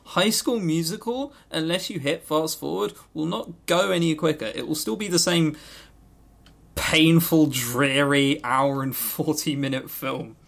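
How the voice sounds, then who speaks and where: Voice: medium pitch, unhurried, creaky. A podcast co-host chatting casually in a jokey, sarcastic and rambling way, prone to going off on tangents. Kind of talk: casual, tangent-prone banter about visual novels.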